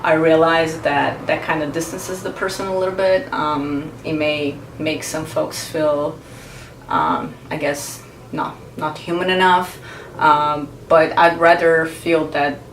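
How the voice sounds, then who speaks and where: A woman, a Portuguese speaker, explaining her views to camera in a thoughtful, earnest way and pausing to search for words. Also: sits low, distant mic, slight background noise. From Brazil. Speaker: vlogger. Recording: roomy echo, mic set back.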